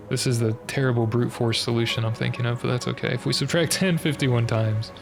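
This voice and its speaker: A young man with a stuffed-up, slightly nasal voice thinks aloud through a puzzle, hesitant and muttering as he works it out step by step. His voice has a gravelly, fry-laced edge at a middling pitch. Game music plays clearly behind him. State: stuffy nose, a bit nasal. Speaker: streamer.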